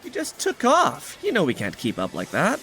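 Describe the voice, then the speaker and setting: A man's voice: high-pitched, huge pitch swings, moderate pace, a bit bright, soft background music, full character voice. A man playing a distrustful, challenging boy who protects his friends, in an audio drama. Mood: worried, wary. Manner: suspicious, challenging.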